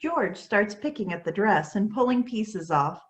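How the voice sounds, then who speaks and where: A woman's voice: mid-range voice, animated, measured pace. A woman narrator reading scripted stage directions for a play.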